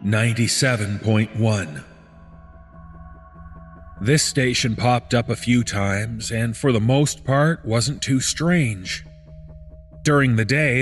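A man reading a scripted first-person horror story in a measured, deliberate storytelling manner. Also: lowish voice. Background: background music.

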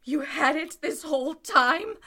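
A woman acting as a shaken heroine in a vintage-style radio thriller. Her high voice swoops expressively, and she speaks slowly and haltingly, breathless with fear and wariness. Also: expressive, sharp tone, exaggerated voice. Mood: accusing. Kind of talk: Golden Age style radio play acting.

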